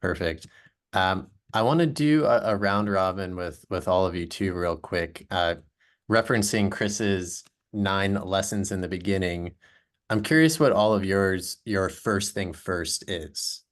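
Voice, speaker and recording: A man with a very deep voice moderates a webinar panel over a video call. He asks friendly, quick questions, full of small fillers.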